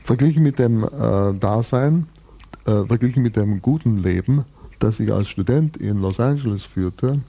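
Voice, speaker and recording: Dark-voiced man in his sixties, reflective. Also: fairly low pitch, moderate pace, lo-fi sound. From Bavaria, Germany. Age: 60s. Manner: thoughtful, long-winded, restarts and fillers, dry humor. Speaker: interviewee. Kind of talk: reflective personal storytelling in a radio interview.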